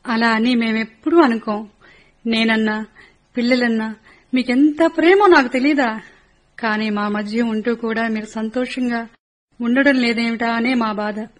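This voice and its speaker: A woman voices a middle-aged soldier's wife in a radio play, high-voiced, who is constantly afraid for her husband. She speaks in an earnest, confiding way. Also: steady tone, a little slow, pauses often, lightly acted. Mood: tender. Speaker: narrator and lead.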